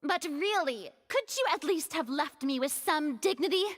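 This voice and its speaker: A woman's voice: sits high, lively intonation, moderate pace, big-room sound. An actress voicing a proud, theatrical young woman who sighs and sulks in a video game. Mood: sulky. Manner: flustered, sulky, dramatic sighs.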